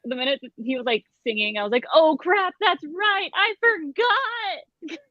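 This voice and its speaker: A woman's voice: very high voice, lively intonation, unhurried, no echo, highs cut off. A woman co-hosting a casual book discussion, with slangy asides and dramatic quoting. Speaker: co-host of a book discussion livestream. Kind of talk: casual chat.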